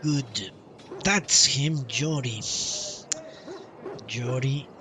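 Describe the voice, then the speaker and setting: A male game streamer giving casual, halting live commentary with some roleplay, often repeating himself. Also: medium pitch, recorded from a distance, noisy background. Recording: loud game music behind voice. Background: game music.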